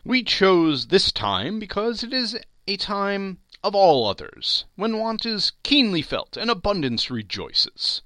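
Casting: male reader as an earnest, well-mannered storybook gentleman seeking donations, formal, very animated, bright. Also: sits high, takes it slowly, few pauses, dry recording, voice pushed up, heavily acted. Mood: earnest, persuasive. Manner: formal, courteous, persuasive. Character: polite, portly, earnest gentleman collecting for charity. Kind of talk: dramatic storybook reading.

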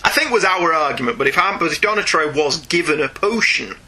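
A man hosting a podcast, chatting casually and reading out listener emails in a chatty, animated way. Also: on the high side, brisk, husky. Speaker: podcast host.

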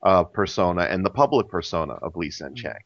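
A man's voice: sits low, lively intonation, brisk, a bit bright, dry recording. A man in a casual podcast panel, asking questions and adding his views. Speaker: podcast guest. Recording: dull lo-fi dry audio.

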